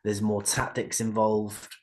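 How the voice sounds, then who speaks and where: A man's voice: fairly low pitch, little pitch movement, unhurried, a little mellow. A man from North Wales, a podcast guest, talking in an easygoing way with hesitant restarts. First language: English. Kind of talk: casual chat.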